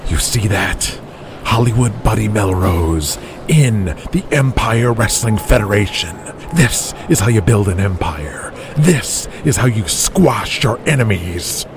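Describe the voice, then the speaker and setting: A man playing a vengeful, grandiose wrestling promoter in an audio drama, speaking in a deliberate, menacing manner. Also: on the low side, animated, noisy background. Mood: triumphant.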